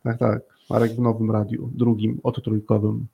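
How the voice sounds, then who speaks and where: Mężczyzna prowadzący na żywo swobodną rozmowę z gośćmi, gadatliwy, chętnie powtarzający słowa dla podkreślenia. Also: male voice, fairly low pitch, monotone, moderate pace, gravelly, slightly rough, highs cut off. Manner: chatty, repeats phrases for emphasis. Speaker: host of a live talk show with guests. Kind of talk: casual, friendly co-hosted chat.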